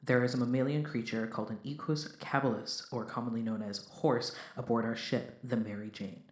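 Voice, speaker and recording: A man's voice: medium pitch, fairly even intonation, brisk, roomy sound, close to an everyday voice. A man playing a composed, literal-minded spaceship crewman in an audio drama, speaking formally and precisely. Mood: matter-of-fact. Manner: formal, precise, matter-of-fact.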